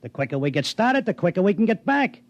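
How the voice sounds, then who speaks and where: A man's voice: high voice, big pitch swings, quick pace. An American man playing a brash, stubborn horse-racing man with blunt, slangy talk in a 1950s radio drama. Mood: eager. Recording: muffled vintage radio recording with music.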